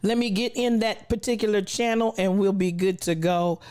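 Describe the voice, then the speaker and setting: A woman's voice: medium pitch, normal speed, a little mellow, smooth. Effusive woman livestream host, recovering voice. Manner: effusive, affectionate, playful. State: recovering from a lost voice, not full voice. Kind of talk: casual livestream chat, greeting viewers by name.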